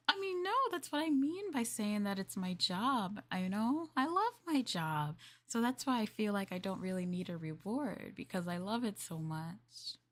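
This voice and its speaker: A woman livestreamer in casual roleplay chat, playing a polite, chatty maid and often dropping into soft, breathy near-whispers. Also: mid-range voice. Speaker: VTuber livestream host.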